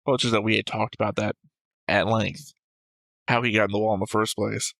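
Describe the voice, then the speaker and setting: A man's voice: fairly low pitch, level tone, fairly quick, gravelly, fairly bright tone, dry recording. A man co-hosting a casual discussion show, going through notes in a dry, analytical way. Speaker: reaction show co-host.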